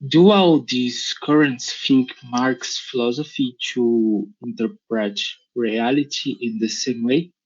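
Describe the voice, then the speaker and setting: Slow Brazilian-accented man on a call. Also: mid-range voice, darkish tone. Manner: halting, careful non-native phrasing. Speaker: class participant. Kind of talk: asking a question in an online class. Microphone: video call. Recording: dull lo-fi call audio.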